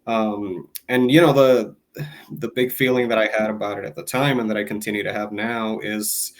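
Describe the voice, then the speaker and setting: Man, from Puerto Rico, low-pitched voice, earnest, halting interview answers. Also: fairly even intonation. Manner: earnest, many restarts and fillers. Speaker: guest, advocacy group president.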